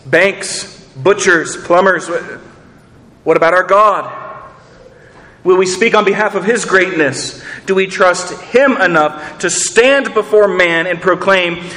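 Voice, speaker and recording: A man's voice: high-pitched, slow pace, bright, forceful tone, long reverb tail. A man preaching a sermon, earnest, asking rhetorical questions and repeating words as he builds each point. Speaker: pastor.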